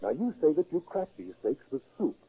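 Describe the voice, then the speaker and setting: An actor playing an earnest, disillusioned preacher turning to crime in a 1930s radio drama, speaking deliberately and precisely. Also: male voice, fairly high pitch, very animated, warm tone, husky. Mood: methodical, determined. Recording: muffled, scratchy 1930s radio recording.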